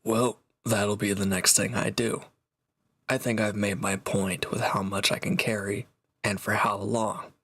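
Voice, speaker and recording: A man playing a proud, teasing reformed villain and swordsman in an intimate audio roleplay, talking cockily to one listener in a gravelly voice. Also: fairly low pitch, flat delivery, unhurried, a bit bright, no echo. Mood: defensive, dry.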